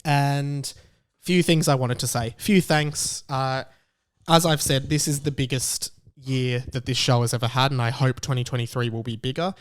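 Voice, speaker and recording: A man's voice: medium pitch, steady tone, moderate pace, clean tone. A man hosting a solo podcast, giving conversational commentary in an emphatic, self-assured, opinionated way. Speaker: podcast host.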